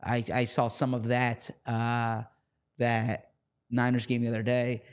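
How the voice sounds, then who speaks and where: Man, hoarse, mid-pitched, casual podcast co-host, chatty, repeats words. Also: completely flat delivery, unhurried. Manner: chatty, repeats words when starting sentences. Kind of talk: casual sports chat.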